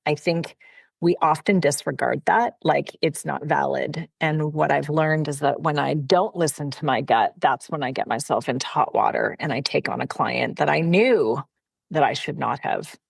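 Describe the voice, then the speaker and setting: A woman's voice: sits low, normal speed, creaky, breathy edge, dry recording. A woman, a personal brand consultant from Canada, speaking as a podcast guest in a chatty, friendly way with frequent fillers. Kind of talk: casual interview conversation.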